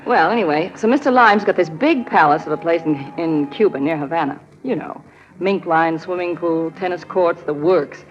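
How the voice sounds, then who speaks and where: A woman's voice: lowish voice, normal speed, natural voice. Actress as young female con artist in vintage radio drama, coy and confiding, muffled recording, music underneath. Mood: confiding, eager. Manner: coy, confiding, feigned hesitancy. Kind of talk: old-time radio drama acting.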